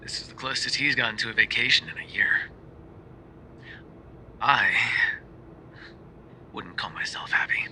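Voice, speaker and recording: A man playing a tender, sheepish, bookish mafia boyfriend in an ASMR roleplay, speaking softly and hesitantly as if on a phone call, often dropping to a whisper. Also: mid-range voice, unhurried, long pauses, a little hiss or hum. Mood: bored, wry. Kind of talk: ASMR roleplay voice acting, intimate phone voicemails.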